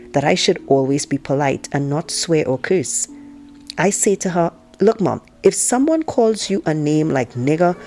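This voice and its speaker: A woman's voice: deep voice, mellow. Woman reading as outspoken, indignant teenage girl. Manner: frank, opinionated, conversational, sarcastic. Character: outspoken, indignant teenage girl narrating her family life. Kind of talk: first-person audiobook read-aloud.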